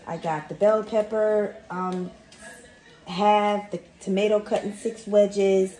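Slow-talking woman in an echoey kitchen. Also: mid-range voice, flat delivery, warm tone. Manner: chatty, reads recipe steps aloud. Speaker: vlogger. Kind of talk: casual cook-along vlog. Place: home kitchen. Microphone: camera on the counter. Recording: roomy kitchen echo.

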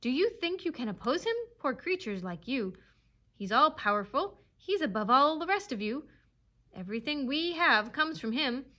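A woman voicing a frenzied, devoted storybook dog that yelps and shouts insistently. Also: extremely high pitch, sing-song, takes it slowly, hardly any pauses, pitched above the performer's own voice, heavily acted. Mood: fervent, indignant. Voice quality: rasping, yelping bark. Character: frenzied yapping dog, fanatically devoted to its master.

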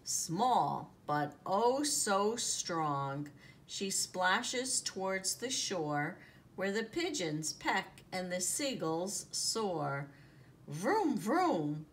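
Very slow-paced woman reading a picture book. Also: on the low side. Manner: expressive, deliberate storytelling with drawn-out sound words. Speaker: teacher reading aloud. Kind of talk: children's picture book read-aloud. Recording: noticeable background noise, home recording.

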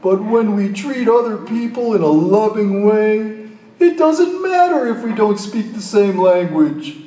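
A man voicing an eager, boastful detective dog puppet in a children's show, with exaggerated, clearly enunciated delivery. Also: sits high, animated, unhurried, mellow. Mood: earnest. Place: large hall, phone recording from the audience. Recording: echoey hall, far from the mic.

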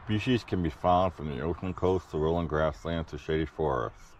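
A man's voice: a bass voice, normal speed, a little mellow, muffled recording, slight background noise. A man hiking a wooded trail and giving offhand, muttered commentary into a body-worn camera outdoors. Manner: offhand, muttering asides to himself. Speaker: hiker filming his walk. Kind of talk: casual spoken commentary while hiking. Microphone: body-worn action camera.

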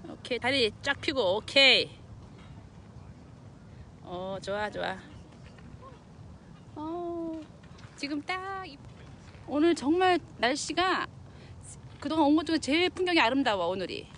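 A middle-aged woman hiking outdoors with her daughter, giving quiet, encouraging instructions in a casual tone. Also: high voice, big pitch swings, unhurried, long pauses, a little hiss or hum. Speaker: hiker coaching her daughter. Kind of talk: casual coaching chat. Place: outdoor hilltop trail.

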